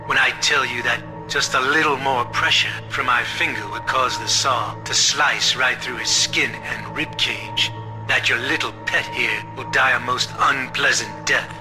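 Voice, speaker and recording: Casting: male actor for cruel espionage boss villain, audio drama; measured menace, mid-pitched, music behind. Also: fairly even intonation, close to an everyday voice, rough recording. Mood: cold menace. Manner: menacing, articulate, deliberate threats. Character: cold sadistic male espionage chief, villain taunting his enemy. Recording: music bed under the voice.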